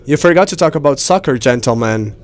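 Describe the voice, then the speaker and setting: A man interpreting conference speeches live, in measured phrases with pauses mid-sentence. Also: mid-range voice, expressive. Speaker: simultaneous interpreter.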